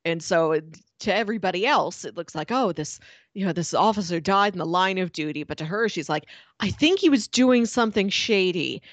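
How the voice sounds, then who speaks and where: A woman chatting casually as a podcast co-host, telling stories in an animated, playful way. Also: medium pitch, dry recording. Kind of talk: casual chat.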